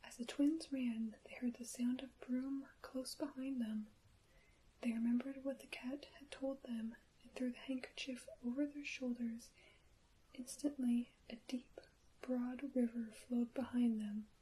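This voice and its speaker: A woman reading a bedtime story for ASMR in a soft, breathy, careful whisper. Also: on the high side, fairly even intonation, unhurried, low-quality recording.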